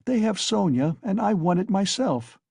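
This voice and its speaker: Dude doing a bitter broody young guy muttering to himself, kinda husky, sardonic. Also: high-pitched, very animated, normal speed, a little mellow, dry recording, clean studio sound, pitched above the performer's own voice, full character voice.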